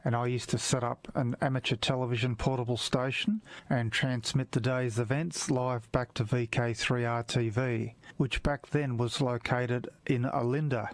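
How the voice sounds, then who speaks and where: A man's voice: medium pitch, dry recording. An Australian man reading a prepared, explanatory video voice-over as an amateur television hobbyist.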